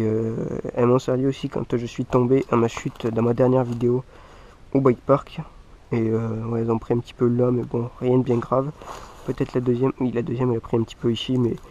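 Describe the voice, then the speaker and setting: A man's voice: mid-range voice, warm tone, highs cut off. A man with a head cold and a stuffy voice, chatting casually to viewers as a mountain bike vlogger while showing off his gear. He rambles and leans on the same filler phrases again and again. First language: French.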